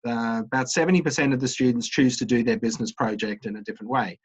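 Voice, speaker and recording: A middle-aged man, an associate dean, interviewing a student in a relaxed way with many ums and uhs. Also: mid-range voice, fast, slightly rough.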